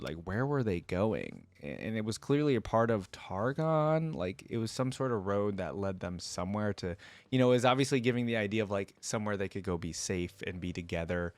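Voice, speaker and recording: Lowish-voiced man casually reacting. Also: no echo. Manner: musing, thinking aloud. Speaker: reaction video host.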